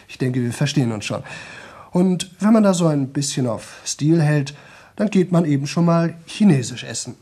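A man's voice: fairly high pitch, lively intonation, normal speed, mellow, breathy edge. An actor playing a young man in a radio drama who talks nonstop for a record, rambling, repeating himself and slipping into singsong. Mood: chatty, self-satisfied. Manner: rambling, repetitive, chatty, drifting into singsong. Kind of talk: 1970 German radio play monologue.

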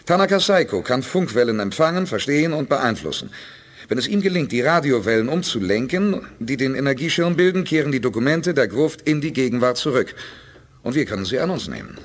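An actor playing a determined, confident space expedition commander in a radio drama, authoritative and persuasive. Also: male voice, medium pitch, animated, brisk, lightly acted. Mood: confident explaining. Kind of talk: 1980s German radio drama acting.

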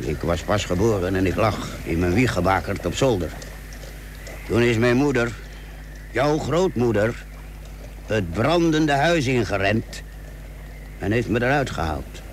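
Low-voiced stern father, noisy recording. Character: stern but loving father sending his son to sea. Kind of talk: Dutch radio play acting. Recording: noisy old radio play recording.

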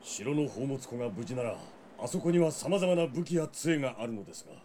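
An actor playing a composed, noble knight leader in a fantasy audio drama, with a dignified, measured manner. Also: male voice, medium pitch, expressive, dark tone, a little hiss or hum, lightly acted. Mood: thoughtful.

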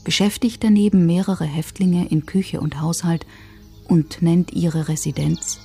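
A female narrator reads a scripted radio feature. Her voice is soft-edged and dark, a little on the low side. She stays factual and sober while faint music plays underneath. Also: steady tone, measured pace, breathy edge. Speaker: documentary narrator.

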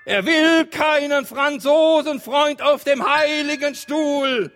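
A man giving half-sung theatrical narration in an audio drama, drawn-out, repetitive and exclamatory. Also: very high-pitched, a bit bright, faint music behind.

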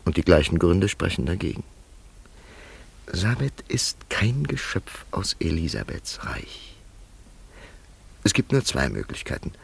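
Gravelly, sceptical, educated investigator. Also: male voice, sits low, wildly swooping pitch, a little hiss or hum, full character voice. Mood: sceptical, pondering. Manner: formal, deliberate, questioning. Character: sceptical, educated, probing male investigator of a mystery. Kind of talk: literary radio play acting.

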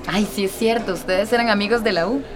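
A woman's voice: mid-range voice, fairly quick, lightly acted. An actress playing a friendly, curious, confiding woman in a radio drama, conversational and coaxing.

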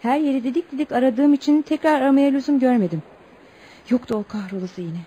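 High-voiced earnest young bride-to-be. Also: female voice, fast, dark tone, husky. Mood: anguished. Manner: earnest, theatrical storytelling. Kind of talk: Turkish radio theatre acting. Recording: noisy old radio drama recording.

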